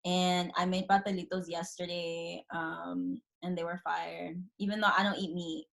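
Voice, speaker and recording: Young female student guest from the Boston area, soft-spoken and a touch shy, slightly low pitch. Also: flat delivery, moderate pace, creaky, low-quality recording. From Boston area, USA. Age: young adult. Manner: shy, trailing off, soft laughs. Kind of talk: casual chat on a video call.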